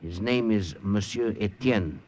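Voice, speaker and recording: An actor playing an earnest, remorseful German soldier with a German accent, speaking hesitantly and deferentially in a 1940s radio drama. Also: male voice, fairly low pitch, a little slow, a little mellow, natural voice. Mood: humble, hesitant. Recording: muffled, noisy old radio recording.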